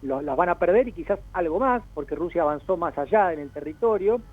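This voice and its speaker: A man's voice: fairly high pitch, lively intonation, brisk. A man with an Argentine accent giving spontaneous, discursive analysis as an interview guest, often voicing imagined quotes to make his points. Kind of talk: spontaneous explanatory commentary. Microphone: telephone call. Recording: narrow tinny phone line.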